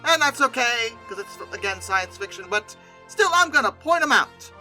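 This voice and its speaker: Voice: medium pitch, big pitch swings, moderate pace, pushed and bright, faint music behind. A video host giving casual, opinionated commentary in a rambling, self-correcting, colloquial way. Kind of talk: casual commentary on film dinosaurs.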